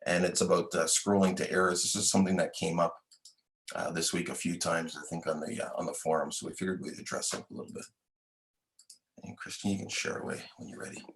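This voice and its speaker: Very deep-voiced livestream host. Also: male voice, flat delivery, quick pace, fairly bright tone. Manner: casual, loose with fillers and restarts. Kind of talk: product update and show hosting.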